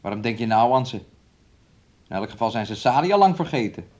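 An actor playing a domineering, resentful young fiancé in a radio play, with a taunting, needling way of talking. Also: male voice, medium pitch, lively intonation, brisk, darkish tone, lightly acted. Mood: belittling. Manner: speaks of himself and her in third person, taunting.

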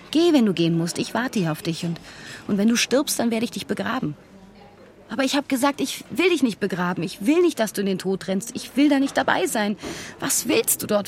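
A woman's voice: a little high, a little mellow. A young woman playing a regretful, anguished soldier's girlfriend, emotional and insistent, in a radio play. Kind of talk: German radio play acting.

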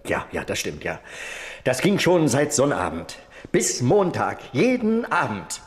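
A man's voice: mid-range voice, wildly swooping pitch, a little slow. An actor playing an indignant, nosy male neighbour in a radio crime drama, fussy and hesitant, repeating himself. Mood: eager, gossipy.

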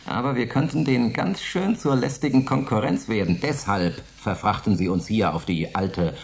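Animated, low-voiced sardonic space pilot. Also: male voice. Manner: mocking, grumbling, declamatory. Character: sardonic forty-year-old retired space pilot, bickering husband. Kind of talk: German science fiction radio play acting.

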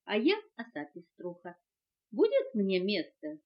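A woman's voice: mid-range voice, wildly swooping pitch, unhurried. A woman voicing a vain, showy female wasp who is proud of her finery, preening and boasting in a sing-song way. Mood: coaxing. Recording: muffled home cassette recording.

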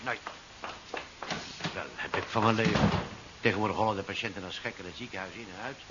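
An actor playing a brisk, firm hospital doctor with an air of authority in a 1970s radio drama. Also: male voice, on the low side, fairly even intonation, natural voice. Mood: firm, exasperated. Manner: firm, soothing to patient, impatient otherwise. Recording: muffled, hissy 1970s radio recording.

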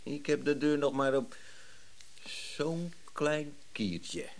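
An actor playing a wary, aggrieved antiques dealer in a radio play, blunt and sardonic. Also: male voice, fairly high pitch, lively intonation, slow pace. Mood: aggrieved, guarded.

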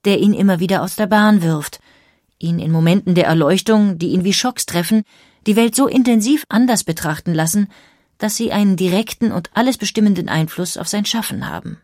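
A woman narrating a scripted biographical documentary in a measured, precise way. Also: medium pitch, darkish tone, dry recording, studio quality.

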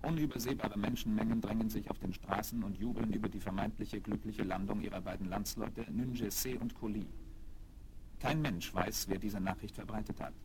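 An actor serving as the solemn narrator of a German children's radio play, reading in a dramatic scripted style. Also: male voice, on the low side, steady tone, fairly quick, natural voice. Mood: grave, dramatic. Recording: very noisy vinyl recording.

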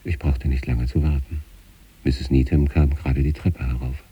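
An actor playing an introspective sanatorium patient, narrating eerie experiences in a measured, deliberate, literary radio style. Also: male voice, steady tone, lo-fi sound, heavily acted. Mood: calm, absorbed. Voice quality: husky, dark low voice.

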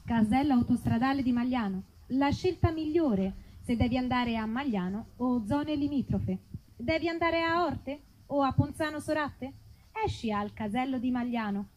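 A woman delivering mock adverts and sketch narration in a deadpan, formal announcer manner on stage. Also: high-pitched, normal speed, a little hiss or hum, low-quality recording.

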